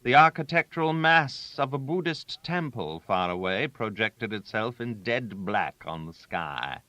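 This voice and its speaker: A man narrating a literary story aloud, with a theatrical, wry manner and deliberate emphasis on key words. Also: lowish voice, lively intonation, pushed and bright, no echo. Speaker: audiobook narrator.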